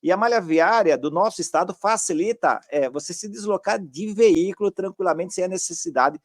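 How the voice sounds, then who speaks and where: Higher-pitched male host, close dry sound. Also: a little fast, no echo. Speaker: interview show host. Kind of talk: conversational interview, addressing viewers.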